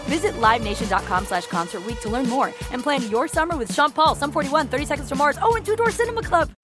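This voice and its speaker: A woman's voice: high-pitched, lively intonation, fast talker. A woman reading a scripted concert promotion as a commercial announcer. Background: music.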